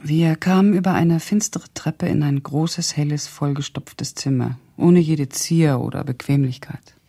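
A woman's voice: low voice, unhurried, gravelly, warm tone, breathy edge, full character voice. An actress playing an educated young Russian woman who recalls events reflectively and precisely in a radio drama. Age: young adult. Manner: reflective, precise, descriptive storytelling. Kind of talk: radio drama monologue as recollection.

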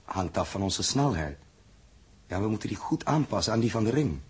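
A husky, commanding spaceship captain. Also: male voice, on the low side, expressive, normal speed. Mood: thoughtful. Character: commanding, practical spaceship captain running his crew. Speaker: radio drama actor. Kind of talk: 1960s Dutch radio play acting.

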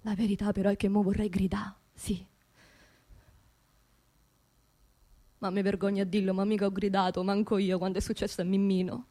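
An actress playing a grieving working-class widow in a radio theatre monologue, with a Roman accent and an intimate, halting delivery that repeats phrases. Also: female voice, medium pitch, level tone, dark tone, close to an everyday voice. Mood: quiet, ashamed. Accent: central Italian, Roman. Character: grieving working-class widow of a construction labourer, haunted, indignant.

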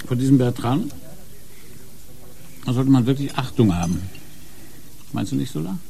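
A man's voice: mid-range voice, big pitch swings, normal speed, vocal fry, dark tone. An actor playing a harried divorced police inspector and fond father in a radio play, speaking in an offhand, colloquial way. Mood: casual.

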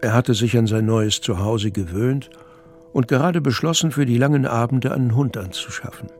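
A man narrates an audio drama with dry, measured delivery. His voice sits at a middling pitch, and soft music plays underneath.

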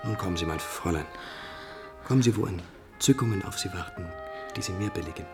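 An actor playing an ardent prince who woos in florid, pleading declamation, in a mid-century radio drama. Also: male voice, sits low, a little fast, over music. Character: ardent, courtly, commanding prince wooing a young lady.

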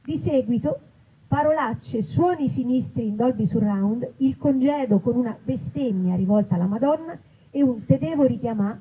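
A woman's voice: a little high, moderate pace. A woman, a writer and actress reading comic vignettes from her own book to a live event. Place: live festival stage, outdoors in an olive grove. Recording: dull, noisy live event recording.

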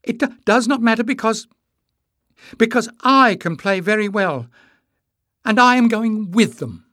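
A man voicing an earnest, nervous young musician in an audiobook, with a high voice. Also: expressive, moderate pace, no room sound, studio quality, close to an everyday voice. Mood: nervous, defiant. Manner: stammering, earnest. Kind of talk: acted audiobook dialogue.

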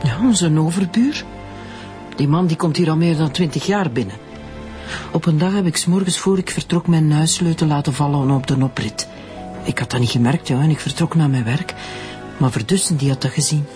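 An actress with a Flemish accent playing an anxious, middle-aged schoolteacher suspected of murder, protesting in a radio drama. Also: female voice, deep voice, a little fast, over music, natural voice. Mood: distressed, insistent. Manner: agitated, protesting.

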